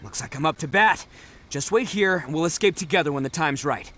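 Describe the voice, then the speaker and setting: Um oni brigão, exuberante e convencido. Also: male voice, on the high side, fairly quick, a little hiss or hum. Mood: confident. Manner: boisterous, cocky, lively. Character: loud brash young male oni brawler, boastful. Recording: game audio with music.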